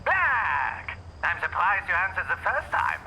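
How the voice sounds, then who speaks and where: Man as flamboyant cryptic trickster, very bright sharp voice, wildly varied pitch, phone filter, audio drama. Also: wildly swooping pitch, unhurried, a little hiss or hum, exaggerated voice, rough recording. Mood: playful, teasing. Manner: flowery, archaic, teasing phrasing. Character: playful, theatrical, cryptic male demigod-kin ally on phone. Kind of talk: audio roleplay drama acting.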